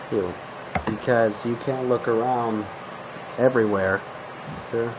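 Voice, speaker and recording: Guy acting as a zombie apocalypse survivor, casual halting talk, repeats himself, average pitch, noisy hum behind. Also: close to an everyday voice. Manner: halting, repetitive, conversational, matter-of-fact. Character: male zombie apocalypse survivor giving tips to a guest. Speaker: roleplay video host. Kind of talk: improvised survival roleplay, casual instruction. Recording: steady background hum, noisy home recording.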